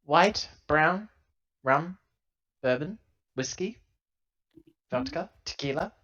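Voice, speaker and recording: A man playing a tabletop roleplaying game with casual, joking narration and character dialogue in a halting, dry manner. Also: on the high side, lively intonation, a bit bright, dull audio.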